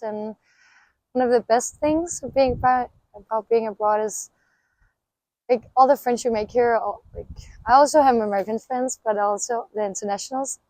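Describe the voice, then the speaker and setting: A young woman from Denmark with a Danish accent, an exchange student giving relaxed, upbeat interview answers. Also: on the high side, dry recording, low-quality recording.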